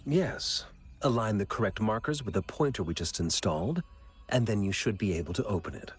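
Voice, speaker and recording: A man's voice: lowish voice, lively intonation, brisk, slightly rough, faint music behind. A man voicing an exacting, irritable researcher in a video game, brusque and sardonic. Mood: curt. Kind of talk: video game character dialogue.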